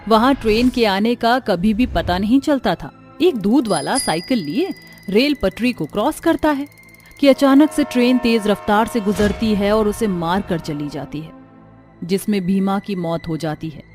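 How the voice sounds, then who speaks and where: A woman's voice: medium pitch, a little slow, a little mellow, soft background music. A woman reading scripted dramatic narration for a cartoon horror story.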